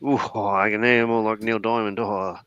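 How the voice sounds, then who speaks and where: A man on a video call panel, throwing in quick wisecracks. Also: on the low side, flat delivery, fairly bright tone, smooth, no echo, highs cut off. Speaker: panel guest. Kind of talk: casual chat on a video call.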